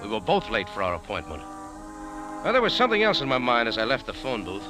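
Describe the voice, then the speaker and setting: An actor playing a cool, wry American café owner and sleuth, laconic and deadpan in 1940s radio drama style. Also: male voice, medium pitch, fast. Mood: cool, narrating. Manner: laconic, hard-boiled, deadpan. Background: music.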